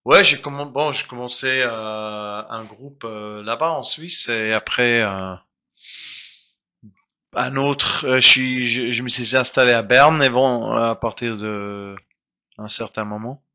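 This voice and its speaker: Guy talking slow in french, bright edgy voice, keeps restarting and hunting for words. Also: medium pitch. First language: German. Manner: hesitant, searching for words with many restarts. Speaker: guest musician. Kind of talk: casual interview talk.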